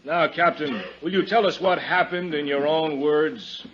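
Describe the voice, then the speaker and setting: An actor playing a firm male chairman of an official inquiry in a 1950s radio drama, formal and measured. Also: a little high, fairly bright tone. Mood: formal, brisk. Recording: muffled, noisy 1950s radio recording.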